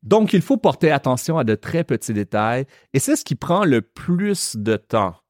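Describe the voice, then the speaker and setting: A man with a Quebec French accent hosting a podcast lesson, explaining slowly and carefully. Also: medium pitch, sing-song, dry recording, studio quality. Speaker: French teacher and podcast host.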